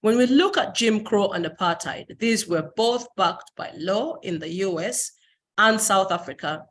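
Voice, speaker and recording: A woman from Uganda whose first language is Luganda, giving an academic presentation over a video call, polite and pausing often with fillers. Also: medium pitch, lively intonation. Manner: polite, with frequent fillers and pauses. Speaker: conference presenter.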